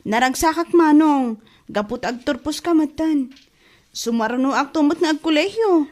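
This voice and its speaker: Casting: supportive teenage little sister played by a woman, high girlish voice, encouraging, radio drama. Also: expressive, normal speed, a little mellow. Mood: happy, admiring. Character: sweet, supportive teenage younger sister, a student. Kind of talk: radio drama acting in Ilocano.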